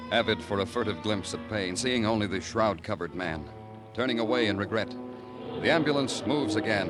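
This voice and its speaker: An actor playing a world-weary New York homicide detective with a deliberate, hard-boiled, poetic delivery in 1950s radio noir style. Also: male voice, fairly low pitch, lightly acted. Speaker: lead actor and narrator. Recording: vintage 1950s radio recording, music behind.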